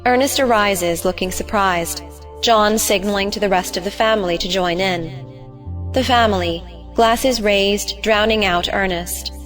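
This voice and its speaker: A woman narrates stage directions for an audio play in terse, clipped phrases. Her pitch is medium, and faint music plays behind her. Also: measured pace, a bit bright.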